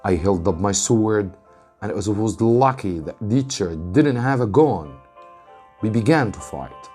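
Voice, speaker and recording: Low-voiced man reading a story dramatically. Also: expressive, unhurried, mellow, breathy edge, faint music behind. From Egypt. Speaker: English teacher reading a story aloud.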